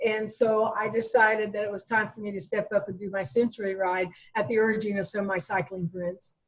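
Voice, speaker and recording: A woman's voice: medium pitch, flat delivery, brisk, poor audio. An American woman in her sixties talking conversationally as an interview guest, telling her own story. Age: 60s.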